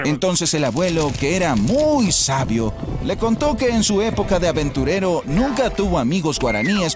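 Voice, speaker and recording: A man narrating a children's cartoon story in an expressive, storytelling way. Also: high-pitched. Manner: expressive, storytelling delivery with repeated refrains.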